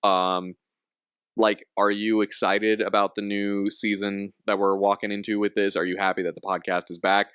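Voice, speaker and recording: Low-voiced young man hosting a podcast. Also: flat delivery, normal speed, a bit bright, clean tone, dry recording, clean studio sound. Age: young adult. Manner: rambling, laughs often, many restarts. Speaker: podcast host. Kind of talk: casual podcast chat.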